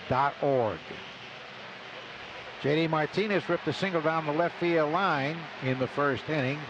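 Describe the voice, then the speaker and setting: Higher-voiced older American man over loud stadium noise. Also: muffled recording. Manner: conversational, storytelling between pitches. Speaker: baseball play-by-play announcer. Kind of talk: live radio sports commentary. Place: stadium broadcast booth. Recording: live radio booth with loud stadium ambience.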